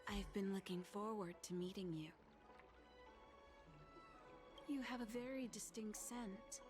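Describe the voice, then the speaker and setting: A woman voicing a gracious, composed blind alchemist in a video game, speaking in a measured, formal way over music. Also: medium pitch, natural voice. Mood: gracious, calm.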